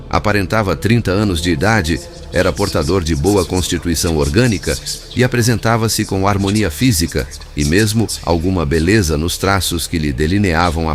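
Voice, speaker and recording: A man reading a spiritual book as a formal, literary scripted narration. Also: sits low. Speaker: audiobook narrator.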